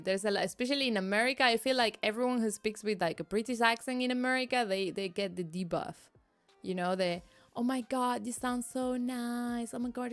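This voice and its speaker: Giggly woman streamer, higher voice. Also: vocal fry. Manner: giggly, chatty, frequent laughs and restarts. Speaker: VTuber streamer. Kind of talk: casual chat reading live chat.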